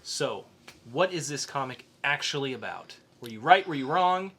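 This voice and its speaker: A man's voice: mid-range voice, animated, normal speed, fairly bright tone, a little hiss or hum. A man hosting a casual podcast discussion about comic books. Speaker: podcast host.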